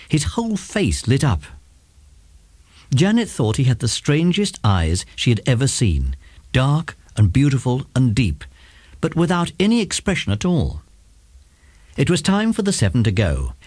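A man's voice: medium pitch, a little mellow, husky, no echo. A man narrating a children's story from a script.

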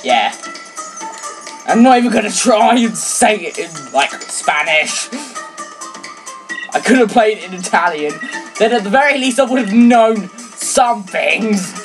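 A teenage girl whose first language is French, chatting excitably and rambling while playing a video game, with sudden shouts. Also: mid-range voice, unhurried, long pauses. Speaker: let's play gamer. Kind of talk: casual game commentary. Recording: loud game music under voice.

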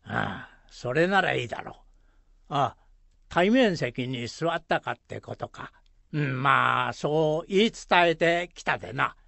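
A gravelly old country headman played by a man in a radio drama, gruff and proud, with a Shizuoka accent. He takes his time, grunting and pondering, with lively swings of pitch. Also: dry recording, exaggerated voice. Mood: pondering, self-important. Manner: slow, rustic, grunting hesitations.

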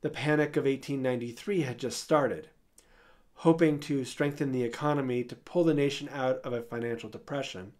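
A man narrating a scripted history story in a measured way, with an occasional dry aside. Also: fairly high pitch, a little mellow.

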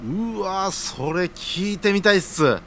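A man's voice: sits high, lively intonation, slow, a bit bright, a little hiss or hum, heavily acted. A man playing an eager, emotional young junior fellow in a scripted radio drama.

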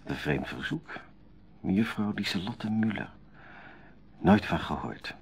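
An actor playing a thoughtful, obliging gentleman in a Dutch radio drama, with a slightly husky voice. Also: low-pitched, animated, takes it slowly, exaggerated voice. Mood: puzzled.

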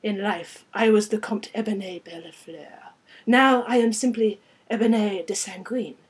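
A woman's voice: medium pitch, a little slow, slightly rough. A woman voicing a gallant, formal male vampire count with a put-on French accent, in a dramatic storybook reading.

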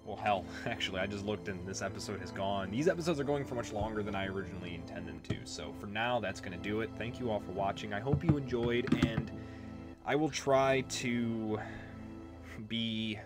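Low-voiced man doing casual game commentary. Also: normal speed, loud music behind the voice. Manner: informal, rambling. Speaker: gaming video host. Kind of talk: casual let's play commentary.

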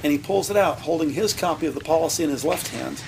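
A male narrator describes the action of an audio drama from a script. His voice sits a little high for a man, with faint noise behind it. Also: quick pace, gravelly.

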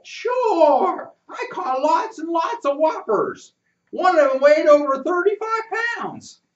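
Squeaky scruffy boy of ten, rustic and boastful, very high and lively, put on by an older man; roomy, distant, muffled take. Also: slow, exaggerated voice. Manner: rustic ungrammatical talk.

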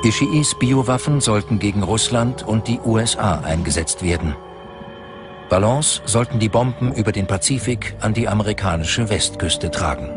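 Man, documentary narrator, scripted delivery, low pitch, clear music behind.

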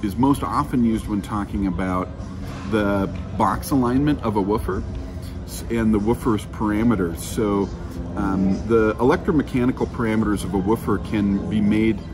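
A man in his thirties giving an informal technical explanation as an engineer being interviewed, halting as he thinks aloud. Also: lowish voice. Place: outdoors under a covered walkway.

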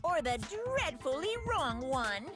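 A woman's voice: sing-song, measured pace. A woman voicing a gleeful, sinister robot bear mascot in a video game, cartoonish and theatrical, taunting with mock cheer. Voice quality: cartoonish, high-pitched. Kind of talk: animated video game acting. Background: game music.

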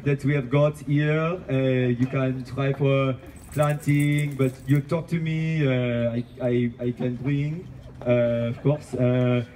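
A young man chatting casually in a halting, non-native sounding way, as a street performer selling wares. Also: mid-range voice, steady tone, a little hiss or hum, poor audio. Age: young adult. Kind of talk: casual chat with customers. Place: outdoor street block party. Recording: loud music and crowd outdoors. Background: music, crowd chatter.

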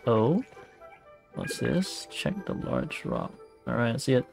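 Male streamer, casual muttered play commentary, creaky voice, unhurried delivery. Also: mid-range voice, wildly swooping pitch. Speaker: game streamer. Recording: faint game music behind.

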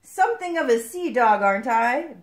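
A woman as a grandiose little storybook frog, animated. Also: medium pitch, measured pace. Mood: jaunty, proud. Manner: theatrical, grandiose. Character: plucky male frog, self-important, dramatic, devoted.

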